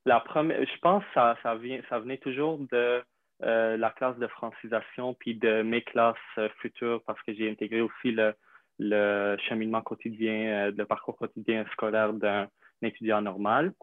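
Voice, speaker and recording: A young man from Syria, a native Arabic speaker, telling his story in an interview with many pauses, fillers and restarts. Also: on the low side, flat delivery, dry recording. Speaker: interview guest. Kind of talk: hesitant conversational interview. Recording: dry tinny phone-like line.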